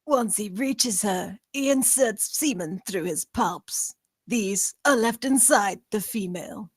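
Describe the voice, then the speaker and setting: Woman playing a measured nature documentary narrator, dry sound. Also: mid-range voice, expressive, husky, no echo. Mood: measured, factual. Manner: measured, factual. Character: nature documentary narrator describing spider mating.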